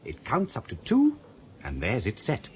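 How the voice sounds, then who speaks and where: A man's voice: mid-range voice, huge pitch swings, measured pace, darkish tone. A man playing a computer programmer in a radio drama, explaining things patiently and chattily. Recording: dull lo-fi audio with faint noise.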